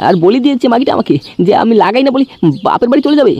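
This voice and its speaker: Squeaky, rattling drunkard husband. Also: male voice, animated, rapid-fire, highs cut off, a little hiss or hum. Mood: exasperated. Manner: complaining, foul-mouthed. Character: drunkard village husband, grumbling, henpecked, crude. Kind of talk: amateur comedy cartoon dubbing.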